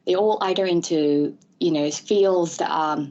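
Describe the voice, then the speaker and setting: A woman from Malaysia in her thirties, a guest in an interview, who talks in a halting way with many fillers and restarts. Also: on the low side, a little mellow. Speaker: interview guest, ADHD advocate. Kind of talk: conversational interview. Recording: dull lo-fi audio.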